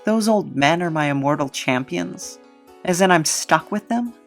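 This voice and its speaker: A woman playing a sardonic, put-upon reluctant hero in an audio drama, speaking in an offhand, deadpan way. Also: on the low side, a little slow, faint music behind. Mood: sarcastic, reluctant.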